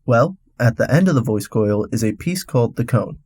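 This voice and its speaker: A man's voice: medium pitch, expressive, moderate pace, darkish tone, slightly rough, no room sound. A man hosting a radio segment, reading a scripted explainer in an instructional, step-by-step way. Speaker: radio host.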